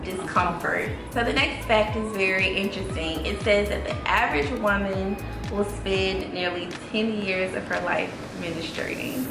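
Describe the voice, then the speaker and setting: A woman's voice: mid-range voice, unhurried, hardly any pauses. A young woman hosting a casual vlog, chatting through facts with light giggles. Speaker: vlog host. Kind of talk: casual chat. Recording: roomy echo with music behind.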